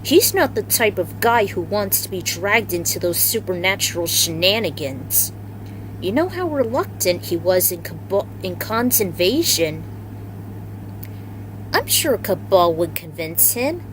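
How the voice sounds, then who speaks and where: A woman's voice: medium pitch, very animated, measured pace, a little hiss or hum, low-quality recording. A woman voicing a casual, wordy, slangy male action hero in a story reading. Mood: casual, musing. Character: casual, chatty male action hero and would-be film director.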